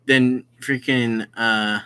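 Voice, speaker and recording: A gamer reading a visual novel aloud with casual commentary, halting and often explaining who is speaking. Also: medium pitch, steady tone, bright, forceful tone, clear, resonant tone. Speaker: gaming video host.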